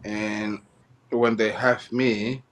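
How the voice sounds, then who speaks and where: A man from Somalia, a guest in an interview telling his life story, often halting and repeating phrases as he goes. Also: fairly low pitch, little pitch movement.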